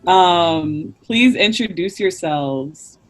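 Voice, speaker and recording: A man's voice: high voice, lively intonation, drawn-out words, fairly bright tone, faint music behind. Young Midwestern man hosting a podcast. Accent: Midwestern American. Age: young adult. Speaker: podcast host.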